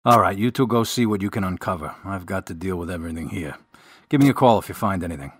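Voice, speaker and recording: An actor playing a gruff middle-aged police detective in a radio drama, matter-of-fact and weary. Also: male voice, sits low, lively intonation, fast, dry recording, clean studio sound.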